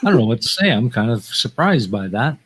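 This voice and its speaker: A man's voice: mid-range voice, big pitch swings, a little fast, slightly rough. Easygoing man with a Canadian accent. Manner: easygoing, short agreeing replies, laughs. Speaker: co-host of a livestream talk show. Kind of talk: casual chat.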